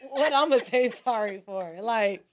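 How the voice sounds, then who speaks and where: A woman's voice: a little high, animated, measured pace, noisy background. A woman appearing as a podcast guest, speaking in blunt, colloquial casual chat.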